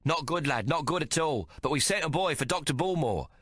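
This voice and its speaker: A man voicing a generous, friendly chef and innkeeper who talks in rough colloquial slang. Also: fairly high pitch, lively intonation, brisk, fairly bright tone, no room sound, clean studio sound, pitched above the performer's own voice. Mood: hearty. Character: hearty middle-aged male chef and innkeeper, generous.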